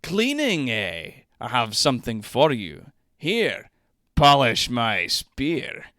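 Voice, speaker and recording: A man's voice: mid-range voice, sing-song, fairly bright tone, dry recording, clean studio sound. Man acting a lecherous, pompous manor lord. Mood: suggestive. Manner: suggestive, pompous, slow wooing.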